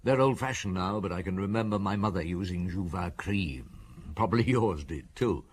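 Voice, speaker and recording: An actor playing a seasoned, genial middle-aged police inspector in a radio drama, conversational, wry and musing. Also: male voice, deep voice, moderate pace, husky. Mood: reminiscing.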